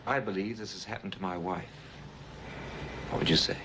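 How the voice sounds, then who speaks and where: An actor playing a worried, polished businessman husband in a 1950s film drama, telling his story gravely and with measured phrasing. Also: fairly low pitch, close to an everyday voice. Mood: grave, intent. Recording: old film soundtrack, faint background noise.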